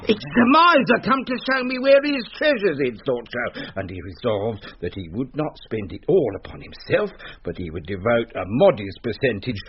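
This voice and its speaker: A male actor playing a droll raconteur who tells a mock-spooky tale theatrically, moving between chuckles and hushed suspense. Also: medium pitch, wildly swooping pitch, moderate pace, hardly any pauses. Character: droll raconteur spinning a mock-spooky tale about his brother-in-law. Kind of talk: theatrical comic ghost-story storytelling. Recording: muffled radio recording, hiss and clicks.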